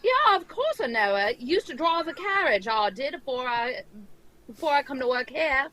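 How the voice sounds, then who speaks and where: A woman's voice: sits high, very animated, normal speed, sharp tone, heavily acted, low-quality recording. A woman voicing a friendly, gossipy male tavern keeper with a Cockney accent in a radio drama. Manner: folksy, gossipy, chummy. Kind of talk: old-time radio drama script read live.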